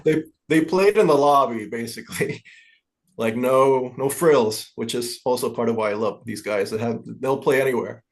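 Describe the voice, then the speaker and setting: A man in his forties chatting as a podcast guest, friendly and quick to joke. His voice sits at a medium pitch. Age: 40s. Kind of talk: casual chat.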